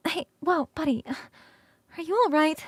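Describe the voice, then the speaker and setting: Woman doing a cute succubus roommate for asmr roleplay, high voice, super dry close mic, playful n coaxing, kinda halting. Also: no room sound. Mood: concerned, gentle. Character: affectionate, playful succubus roommate, young-sounding, eager to cuddle. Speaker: streamer performing scripted roleplay. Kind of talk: ASMR roleplay, spoken to the listener.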